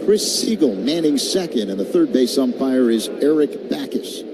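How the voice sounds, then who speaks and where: A man with an American accent calls baseball on the radio in a mid-pitched voice. Clear ballpark noise sits behind his commentary. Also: lively intonation, normal speed. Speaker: radio play-by-play announcer. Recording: clearly audible stadium ambience noise. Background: ballpark ambience.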